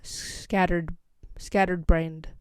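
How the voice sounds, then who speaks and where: A woman's voice: lowish voice, flat delivery, drawn-out words, smooth, dry recording. A young woman with an American accent telling a casual, rambling story as a vlogger, full of "like" and "um". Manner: rambling, scatterbrained, lots of "like" and "um".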